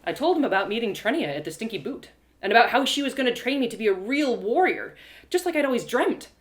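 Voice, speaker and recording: A woman narrating a scripted fantasy tale in the first person, with expressive, dramatic storytelling. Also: a little high, fast.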